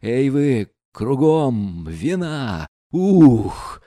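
A man reading aloud and voicing a rough, wild young man who shouts loudly. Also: medium pitch, extremely slow, no echo, studio quality. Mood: wild shouting. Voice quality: loud, shouting.

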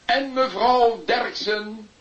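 A hearty radio show host in a radio play. He speaks brightly and very slowly, drawing out his showy announcements. The tape sounds dull with a faint noise. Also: extremely high pitch, fairly even intonation, heavily acted. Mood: slick, familiar. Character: hearty, slick male radio quiz presenter on tape.

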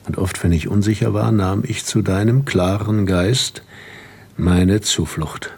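An actor playing an aging, gentle and learned husband in a radio drama, grave and measured, with a husky voice. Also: male voice, deep voice, exaggerated voice. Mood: wistful. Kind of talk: literary radio drama acting.

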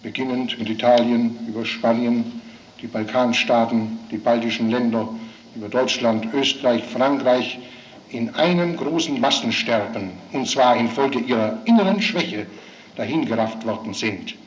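A man's voice: medium pitch, normal speed, lo-fi sound. A man making an emphatic speech in an archive recording, listing his points one after another. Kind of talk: archival speech and radio report.

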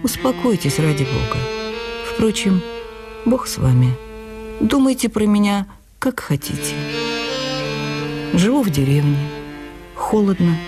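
A woman's voice: mid-range voice, big pitch swings, measured pace, long pauses, music in the background. A woman voicing a proud male writer's letter in a radio reading, dignified, reproachful and ironic. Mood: wounded, wry.

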